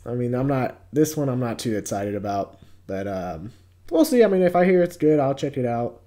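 A man's voice: mid-range voice, big pitch swings, normal speed, mellow. An American man in his twenties with a mid-range voice hosts a casual commentary video. He talks in a laid-back, slangy way and often sounds hesitant as he gives his take. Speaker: YouTube host reviewing entertainment news.

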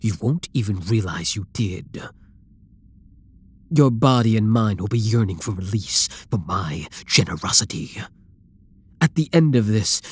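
Guy doing a possessive villain for asmr roleplay, super slow n breathy, menacing, slips into whispers. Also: fairly low pitch, exaggerated voice. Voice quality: breathy, husky. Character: dominant, obsessive male supervillain taunting captured hero. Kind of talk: ASMR roleplay, scripted dramatic monologue.

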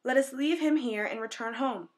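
A woman's voice: on the high side, normal speed, close to an everyday voice. A woman reading as greedy elder brothers. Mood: scheming.

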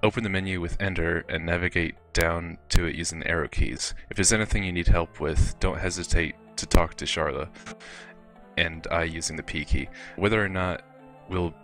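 Man voicing a very deep, dry knight. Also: steady tone, moderate pace, a bit bright. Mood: dry, instructive. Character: dutiful adult male knight giving practical guidance. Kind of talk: fan dub of role-playing game dialogue. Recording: music behind the voice.

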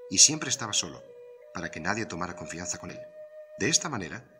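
A man with a low-pitched voice narrates a serialized mystery story in a scripted, storytelling style. Music plays clearly behind his voice. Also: big pitch swings, fast. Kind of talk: scripted narration of an audio drama novel.

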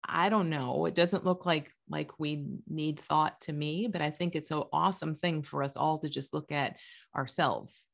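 A woman in her forties with a slightly low voice talks casually on a video call. She hosts the event and thinks aloud in a conversational way, often asking questions and voicing imagined thoughts. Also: fairly even intonation, normal speed, gravelly. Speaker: author hosting an online launch event. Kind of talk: casual unscripted talk answering questions. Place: indoor room on a video call.

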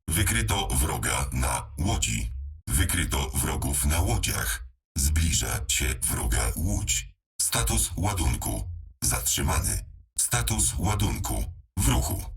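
A war-machine computer from a video game, with an extremely low and very husky voice. It delivers terse tactical reports with slow, deliberate robotic phrasing. The sound is processed and machine-like. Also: male voice, distant mic, exaggerated voice. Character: robotic battle mech computer speaking for a hamster pilot. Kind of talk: clipped military status announcements.

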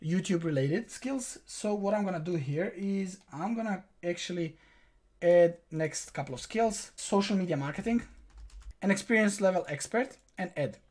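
A man's voice: high voice, expressive, moderate pace, a little mellow. A young man whose first language is Croatian hosts a screen-recorded tutorial. He talks viewers through every click in a direct, instructive way, recorded at a desk in a small room. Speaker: online tutorial host.

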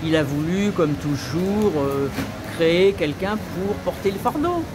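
A woman's voice: lowish voice, animated, a little slow. Elderly woman on a very noisy street. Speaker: street interviewee. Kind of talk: spontaneous street conversation. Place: outside a shop entrance on a city street. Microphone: small lavalier held near the mouth. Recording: outdoor street traffic noise, loud.

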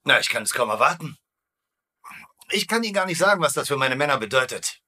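An actor playing a sly, smooth-talking prisoner-of-war colonel in a sitcom dub, wry and mock-flattering. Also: male voice, medium pitch, fast, full character voice. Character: cocky, sly American POW colonel, smooth-talking schemer. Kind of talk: dubbed sitcom acting.

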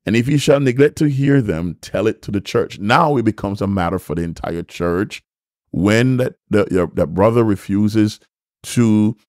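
Middle aged guy preaching bible lessons, lowish voice, says points over again, dry close mic. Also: darkish tone, no echo. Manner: deliberate, repeats and rephrases points for emphasis. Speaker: bishop and host of a church teaching show. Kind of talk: sermon-like Bible teaching to camera. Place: small furnished room, indoors. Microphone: microphone on a stand, close in front.

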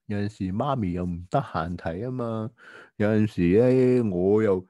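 A man voicing an apologetic, careless young boy in a children's radio drama, with drawn-out, hesitant excuses. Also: fairly low pitch, dark tone, no echo, natural voice. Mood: apologetic, excusing.

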